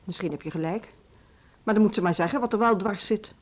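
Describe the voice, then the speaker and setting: A woman's voice: mid-range voice, a little fast, lightly acted. A woman acting in a naturalistic radio drama as a worried, insistent music school administrator, pressing and emphatic.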